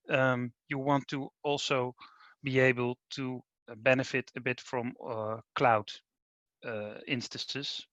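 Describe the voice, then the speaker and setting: A man with a Dutch accent giving a business webinar presentation, pausing often with ums and uhs. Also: medium pitch, fairly bright tone, no echo, muffled recording. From Netherlands. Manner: hesitant, many ums and uhs. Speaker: managing director presenting.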